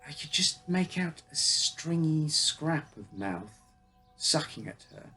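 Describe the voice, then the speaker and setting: A man with a husky voice reading his own first-person uncanny story as a scripted narration. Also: fairly high pitch, big pitch swings, takes it slowly, sharp tone, faint music behind.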